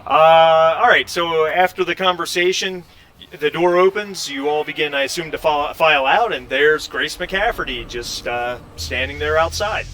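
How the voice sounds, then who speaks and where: Bright-voiced man running a tabletop game. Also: fairly high pitch, normal speed, a little hiss or hum. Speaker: game master. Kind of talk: narrating a tabletop role-playing game, improvised.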